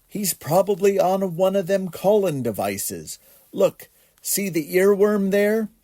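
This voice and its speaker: A man plays a down-to-earth terrier servant in a bedtime story, loyal but blunt. He mutters matter-of-factly, his pitch bobbing up and down. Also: animated, takes it slowly, dark tone, no room sound, exaggerated voice. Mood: mildly exasperated. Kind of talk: children's storybook character voice.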